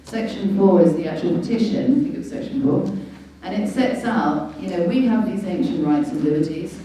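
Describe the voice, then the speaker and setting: A British woman with a low, very dark voice gives an informal lecture to a public meeting. She is emphatic and didactic, posing rhetorical questions. The sound is heavily echoed and comes from well away from the mic. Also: moderate pace, slight background noise. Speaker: guest speaker, lawyer. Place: large echoey indoor venue at a public meeting. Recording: dull, very echoey hall, distant mic.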